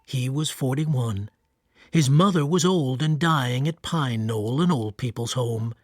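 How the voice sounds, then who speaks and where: A man narrating a radio drama from a script in a measured, wry, literary way. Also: mid-range voice, dry recording, clean studio sound, natural voice.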